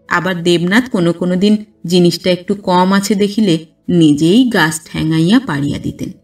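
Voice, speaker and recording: A woman reading a classic literary story as an audio narrator, in a measured, expressive storytelling manner. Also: mid-range voice, distant mic. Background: background music.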